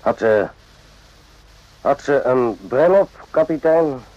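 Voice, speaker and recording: A man's voice: medium pitch, animated, takes it slowly, a little mellow. An actor playing a suspicious, plain-spoken army sergeant in a radio drama, blunt and grumbling yet deferential to his superior. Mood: suspicious, irritated. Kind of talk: radio drama acting in Dutch. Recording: dull, muffled old radio recording.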